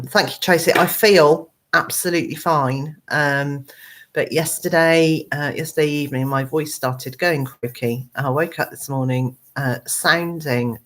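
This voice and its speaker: A woman with a hoarse, croaky voice, hosting a casual live chat from her computer at home, rambling with many ums and uhs. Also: rumbling low, unhurried, fairly bright tone. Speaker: gardening channel host.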